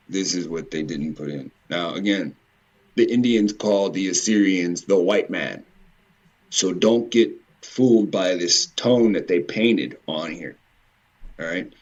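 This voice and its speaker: A man giving informal, rambling commentary in a halting way, repeating words and swearing mildly now and then. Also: deep voice, lo-fi sound. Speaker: video presenter. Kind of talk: informal rambling commentary over on-screen images.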